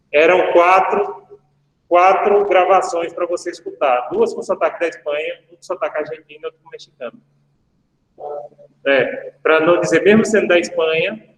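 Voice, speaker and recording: A man, a law professor giving a casual online lecture, chatty and full of anecdotes and asides to his students. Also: fairly high pitch. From Brazil. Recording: echoey room with long reverb.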